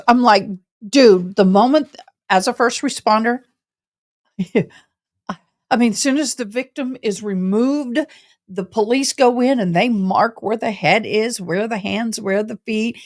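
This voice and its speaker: Woman host from tennessee, fairly high voice, chatty, blunt, laughs a lot. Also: measured pace. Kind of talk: casual live-stream chat.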